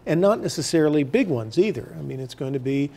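An older man giving halting explanations as a guest in a casual TV studio interview. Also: on the high side, expressive, gravelly, warm tone. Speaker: newspaper editor as interview guest. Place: TV news studio, indoors at a desk.

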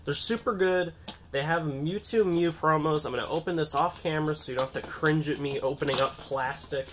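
A young man with a nasal voice from a cold, chatting excitedly and rambling as he opens trading card packs on a table. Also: a little high, brisk, slight background noise. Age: young adult. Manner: excitable, rambling, self-mocking. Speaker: card-opening channel host. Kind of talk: casual unboxing vlog. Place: indoors at a table, hands on camera.

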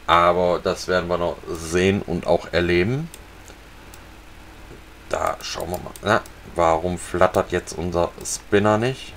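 A man casually talking viewers through a fishing video game, relaxed and chatty. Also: low voice, a little slow, a little hiss or hum. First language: German. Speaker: gaming channel host. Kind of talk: casual let's-play commentary.

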